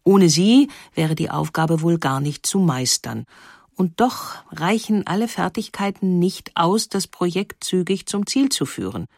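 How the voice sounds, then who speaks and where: A woman's voice: lowish voice, animated, unhurried, a little mellow, dry recording. Composed female narrator, dry sound. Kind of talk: scripted documentary narration.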